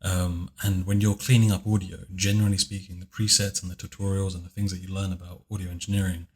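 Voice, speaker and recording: A man with a very deep, low voice, chatting casually in a commentary on his own video, speaking softly and hesitantly with many fillers. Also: flat delivery, quick pace. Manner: soft-spoken, hesitant, with many fillers and restarts. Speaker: video creator giving commentary.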